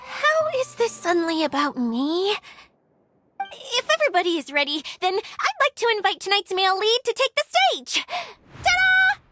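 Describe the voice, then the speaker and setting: Bashful, helpful young game heroine, extremely high and melodic, polite, light music behind. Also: female voice, big pitch swings, moderate pace, bright, forceful tone, heavily acted. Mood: flustered, presenting. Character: modest, helpful, bashful young woman costume maker. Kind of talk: animated game cutscene acting.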